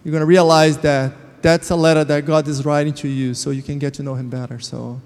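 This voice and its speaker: A man's voice: fairly high pitch, little pitch movement, fairly quick, a little mellow. Man in an echoing church. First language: Portuguese. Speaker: church member sharing a testimony. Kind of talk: informal church testimony. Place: church hall. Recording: long hall reverb.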